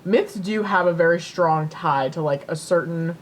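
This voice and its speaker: A woman's voice: on the low side, normal speed, slight background noise. A woman co-hosting a podcast in casual, unscripted chat, with a playful, hedging manner. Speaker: podcast co-host.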